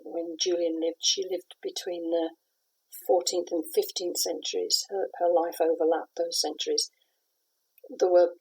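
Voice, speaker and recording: A middle-aged woman from the west of Scotland, a playwright answering interview questions in a thoughtful, measured way, pausing and restarting as she talks. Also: on the low side, a little mellow, husky, rough recording.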